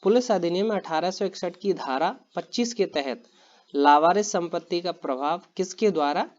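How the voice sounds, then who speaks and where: A man teaching exam prep, reading quiz questions and answers aloud in a steady, plain way, repeating each answer and often clearing his throat. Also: sits high, moderate pace, highs cut off.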